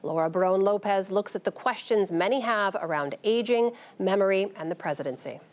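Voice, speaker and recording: A woman news anchor introducing a report in a steady, formal manner. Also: medium pitch, brisk.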